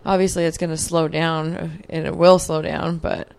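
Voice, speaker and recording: Woman, mid-thirties, smooth clear voice, lowish pitch, reflective, earnest, casual interview guest. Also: little pitch movement, moderate pace, darkish tone. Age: 30s. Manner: earnest, reflective, many fillers. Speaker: podcast guest, athlete.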